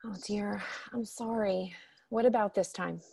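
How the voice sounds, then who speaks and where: Woman acting creaky-voiced, caring mother. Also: mid-range voice, fairly even intonation, a little slow, dry recording. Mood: concerned. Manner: gentle, concerned. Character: caring, perceptive mother of a grown daughter. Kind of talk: radio drama acting.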